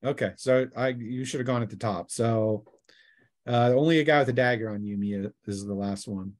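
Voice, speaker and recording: A man's voice: mid-range voice, normal speed, darkish tone. A man narrating a tabletop role-playing game as game master in a casual, conversational way.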